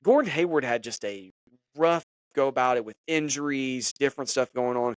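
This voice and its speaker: A man hosting a podcast, drifting from sports news into an informal sermon. He talks loosely, with lots of "you know" and restarts, at a middle pitch. The recording is dry and close, with no room sound. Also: expressive, moderate pace, clean tone, dry recording, low-quality recording. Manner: conversational, preachy, many fillers. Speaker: podcast host. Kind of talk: casual devotional podcast talk.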